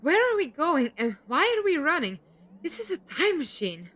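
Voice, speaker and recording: A woman's voice: sits high, sing-song, moderate pace, noisy background. A woman playing a feisty, impatient young companion in an audio drama, with a blunt, exasperated way of talking. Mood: puzzled, uneasy.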